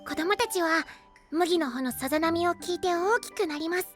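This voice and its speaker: A very high, childlike boy's voice, soft, polite and earnest. He sounds like a devoted young knight in an anime-style audio drama. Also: moderate pace, soft background music. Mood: proud, wistful.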